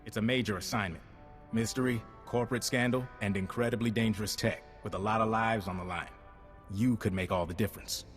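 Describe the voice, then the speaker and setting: An actor playing a wry, unflappable undercover agent in a video game, casual and confident. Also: male voice, fairly low pitch, steady tone, fairly quick, long reverb tail, natural voice. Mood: wry briefing. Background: music.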